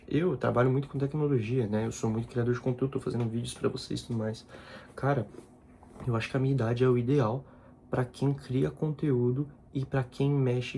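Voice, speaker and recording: A man's voice: medium pitch, steady tone, a little fast, dark tone, a little hiss or hum. A man, a content creator talking casually in a personal vlog and often repeating himself. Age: 20s. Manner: informal, repeats himself, slangy asides.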